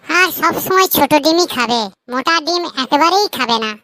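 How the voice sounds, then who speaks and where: A performer voicing a cheeky young nephew in a cartoon comedy, shrill and childlike, glib and theatrically mock-earnest. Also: animated, normal speed, pushed and bright, a little hiss or hum, exaggerated voice. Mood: mock-earnest advising. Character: cheeky young nephew teasing his old uncle.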